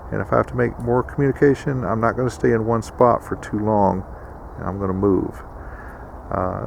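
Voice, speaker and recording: A man hosting a casual instructional vlog with a gravelly voice, addressing viewers in a folksy way. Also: on the low side, slight background noise, poor audio. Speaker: video host.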